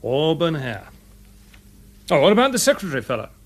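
High-voiced, sceptical English police inspector. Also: male voice, big pitch swings, fairly quick. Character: sceptical, sensible male police inspector, detective's friend. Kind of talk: BBC radio drama acting.

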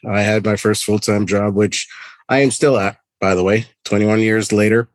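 A man in his forties from Trinidad and Tobago, a podcast co-host, introducing himself in a relaxed, matter-of-fact way. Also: lowish voice, moderate pace, dry recording. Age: 40s. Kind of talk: casual podcast chat.

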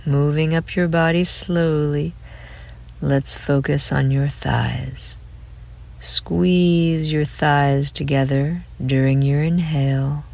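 Woman, guided meditation, low register, drawn-out delivery, creaky fry, soothing, breathy phrase endings. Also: fairly even intonation. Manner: soothing, gentle, breathy trailing phrase ends. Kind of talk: slow guided relaxation meditation.

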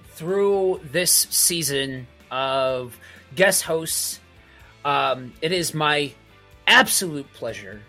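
A man hosting a faith podcast, introducing a guest in a slow, measured way with small fillers. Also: fairly high pitch, bright, forceful tone. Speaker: podcast host.

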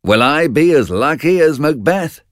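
A man's voice: a little high, expressive, normal speed, no room sound, clean studio sound, voice pushed up, close to an everyday voice. A bold, curious nobleman presses for answers in a raised, eager voice, as a man acts him out. His delivery swings up and down as he asks question after question. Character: bold, curious Scottish lord Banquo.

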